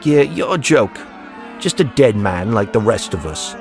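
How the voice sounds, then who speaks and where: A man voicing a mocking, desperate prisoner with a taunting, hurried delivery in an audiobook drama. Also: on the low side, sing-song. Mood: scornful. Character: mocking, brash male prisoner, sneering and desperate. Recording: music behind the voice.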